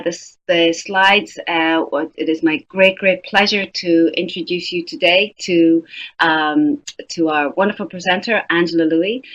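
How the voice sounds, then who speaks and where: A woman moderating a webinar, introducing the speaker in an effusive way with many fillers and restarts. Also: on the low side. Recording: dull lo-fi audio.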